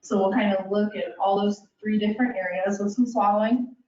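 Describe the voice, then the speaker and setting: A woman's voice: medium pitch, fairly even intonation, fairly quick, dark tone. Chatty woman presenter in an echoey room. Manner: casual and chatty, addresses listeners directly, many "kind of" and "um". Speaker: speech-language pathologist. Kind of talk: educational presentation. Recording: roomy, distant, dull sound.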